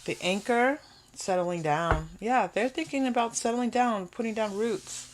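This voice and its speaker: A woman hosting an unscripted tarot reading, talking conversationally to viewers and often pausing or repeating phrases as thoughts come to her. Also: on the high side, slight background noise. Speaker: tarot reader hosting a pick-a-card reading.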